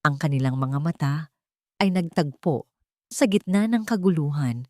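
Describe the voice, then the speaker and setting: A woman's voice: low voice, expressive, moderate pace, a little mellow, dry recording, studio quality. A woman narrating an audio drama in a scripted, emotional and emphatic storytelling style.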